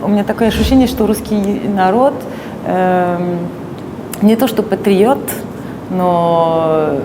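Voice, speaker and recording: Slow woman searching for words over traffic. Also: mid-range voice. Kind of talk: documentary interview.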